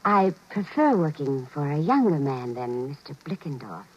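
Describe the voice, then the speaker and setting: Coy, low-pitched flirtatious secretary. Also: female voice, very animated, moderate pace. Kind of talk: 1950s radio drama acting. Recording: muffled, noisy vintage 1950s radio recording.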